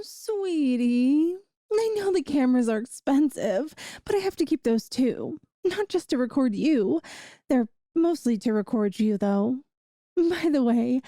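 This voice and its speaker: A woman's voice: high voice, mellow, no echo, studio quality. A woman playing an adorkable, possessive young yandere girlfriend in a comedic ASMR audio roleplay, rambling on in a sweetly earnest, doting way. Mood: adoring, pleading.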